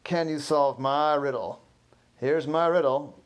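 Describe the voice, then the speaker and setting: A man voicing a goofy costumed lion-telegram performer who booms slowly and roars. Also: lightly acted. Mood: booming, theatrical. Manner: booming, drawn-out, growling "rawr".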